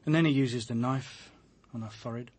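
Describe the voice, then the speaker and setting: An actor as a husky, haunted police detective. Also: male voice, mid-range voice, brisk, close to an everyday voice. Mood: grim. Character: weary, haunted police detective hunting a serial killer. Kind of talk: radio drama acting.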